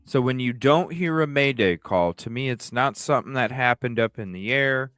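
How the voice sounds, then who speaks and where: A man's voice: mid-range voice, lively intonation, normal speed, fairly bright tone, clear, resonant tone, no room sound, clean studio sound, faint music behind. A man hosting a livestream with casual, speculative commentary, repeating phrases and asking rhetorical questions. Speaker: livestream host.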